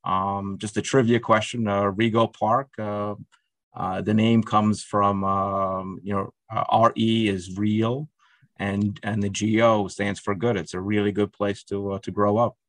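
A man's voice: on the low side, level tone, measured pace, a bit bright, no echo. A middle-aged man, a guest in a conversational interview, talking hesitantly with many fillers and restarts. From Russia. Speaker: podcast guest.

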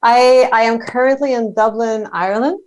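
A woman's voice: fairly high pitch, fairly even intonation, darkish tone. Enthusiastic talkative woman from Montreal. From Canada (Montreal). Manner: enthusiastic, talkative, quick listing with fillers. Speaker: podcast guest, entrepreneur. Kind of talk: casual interview conversation.

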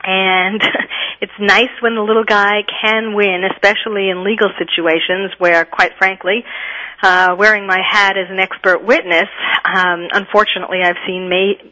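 A woman's voice: mid-range voice, flat delivery, normal speed, bright, forceful tone, lo-fi sound. A woman hosting a radio talk show as a psychiatrist, chatty and upbeat and laughing often.

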